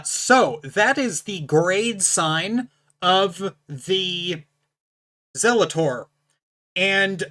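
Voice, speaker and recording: A host speaking straight to the viewer with a powerful, distinct voice and a bright, sharp edge to its tone. The delivery is casual but emphatic, setting out firm rules in a blunt, lecturing way. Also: medium pitch. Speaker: channel host reviewing a book. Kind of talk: casual explanatory talk to camera.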